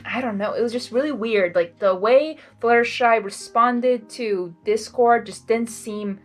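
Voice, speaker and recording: Female reader-commentator, highish voice, expressive and rambling, music underneath. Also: measured pace. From Hungary. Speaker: fan fiction reader and commentator. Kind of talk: blind reading with casual reaction commentary.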